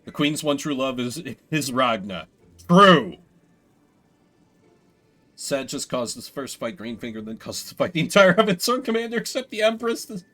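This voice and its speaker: A man streaming a video game, commenting excitedly and swearing freely. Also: medium pitch, normal speed. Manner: profane, animated. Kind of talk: excited gaming livestream commentary. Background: game music.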